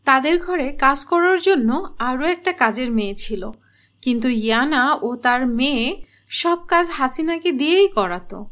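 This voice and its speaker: A high-pitched female narrator reads a scripted fairy tale in storybook fashion. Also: measured pace, a bit bright, muffled recording.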